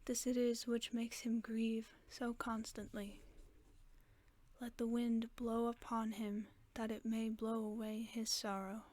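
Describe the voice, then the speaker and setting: Solemn old wizard from a bedtime storybook, whispered by a woman, slow and hushed. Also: fairly high pitch, level tone. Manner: soft whispered speech.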